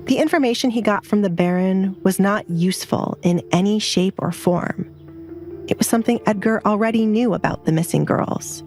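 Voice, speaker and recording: A woman's voice: mid-range voice, normal speed, a little mellow, soft background music. A woman reading scripted, dramatic story narration as an audiobook narrator.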